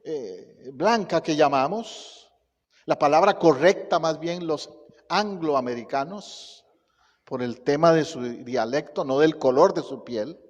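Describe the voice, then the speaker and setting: A man from Costa Rica with a Costa Rican Spanish accent, a pastor preaching a sermon and telling stories in a lively way. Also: high-pitched, long reverb tail. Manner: storytelling, animated, laughs at own anecdotes. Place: church sanctuary.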